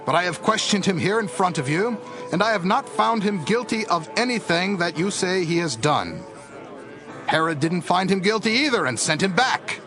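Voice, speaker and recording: A high, lively Roman governor. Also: male voice. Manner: insistent, pleading with a crowd. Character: weary Roman governor questioning a prisoner.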